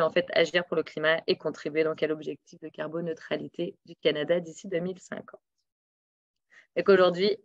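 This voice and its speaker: A woman's voice: lowish voice, creaky, no echo. A woman with a Québécois accent introducing a webinar in a casual, hesitant way with many fillers, over a computer mic on a video call. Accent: Québécois French. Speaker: webinar host. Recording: dull, dry lo-fi audio.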